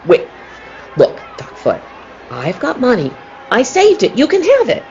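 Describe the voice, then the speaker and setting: A muffled, noisy recording of an actor playing a circus woman in a radio play. She is jealous and menacing, with a voice that swings widely in pitch and a dramatic, defiant delivery. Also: huge pitch swings, measured pace, darkish tone. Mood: bargaining. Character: cornered, jealous female circus animal trainer, defiant and menacing.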